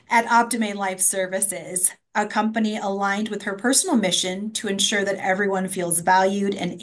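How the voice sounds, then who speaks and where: A woman moderating a panel discussion at a conference, reading out introductions and housekeeping notes. She pauses often on ums and keeps a steady, middling pitch. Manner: reads introductions with frequent ums.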